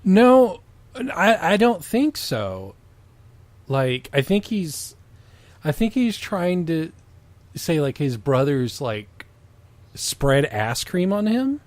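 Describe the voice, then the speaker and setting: A man's voice: a little high, big pitch swings, takes it slowly, pauses often, no room sound. A man doing a casual comedic reading and riffing on it with sarcastic, sweary banter. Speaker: co-host of a comedic dramatic reading.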